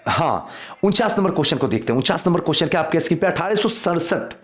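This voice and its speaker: Male teacher, slightly high voice, lively swooping intonation, quiz-style explaining, repeats for emphasis. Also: fast talker. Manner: instructive, repeats questions and answers for emphasis. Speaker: exam-prep history teacher. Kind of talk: quiz lesson, reading questions and explaining answers.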